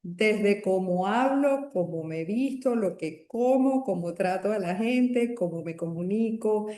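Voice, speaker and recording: A Venezuelan woman speaking as a podcast guest, with a mellow, soft-edged voice. She talks in a reflective way and often restarts or pauses mid-sentence. The sound carries a noticeable echo from the room around her. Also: medium pitch, animated. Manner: reflective, with frequent restarts and hesitations. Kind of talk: podcast interview.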